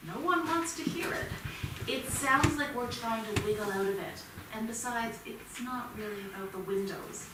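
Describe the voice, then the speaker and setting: A woman's voice: fairly high pitch, normal speed, close to an everyday voice. Hesitant middle-aged mom with a conscience female stage actor, distant and reverberant, with background noise. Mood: conciliatory. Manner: hesitant, conciliatory. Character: conscience-troubled, hesitant mother of an accused boy. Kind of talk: live staged play reading, naturalistic. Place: indoor theatre stage, recorded from a distance. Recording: very echoey, distant theatre recording with noise.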